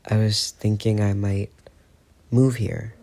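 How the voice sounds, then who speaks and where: A man's voice: fairly low pitch, little pitch movement, slow, vocal fry, warm tone. A man voicing a defiant, guilt-ridden teenage boy who talks defensively and stammers when upset.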